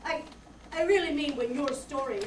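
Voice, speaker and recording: A woman's voice: high-pitched, normal speed, close to an everyday voice. A woman playing a tiny, jolly, polite English man in an amateur radio drama. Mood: jolly, excited. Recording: distant roomy recording, faint noise.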